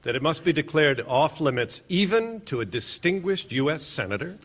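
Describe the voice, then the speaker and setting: A man's voice: on the high side, very animated, moderate pace, fairly bright tone. An actor playing a male government lawyer in a radio courtroom drama, formal, assertive and emphatic. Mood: formal, leading. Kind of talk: radio play acting.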